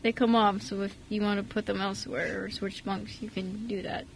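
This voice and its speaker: A woman playing a kind, soft-spoken adoptive father, hesitant and reassuring, in an amateur radio drama. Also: mid-range voice, level tone, moderate pace, vocal fry, a little hiss or hum, close to an everyday voice, low-quality recording. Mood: warm, reassuring. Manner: hesitant, reassuring, mild stammer.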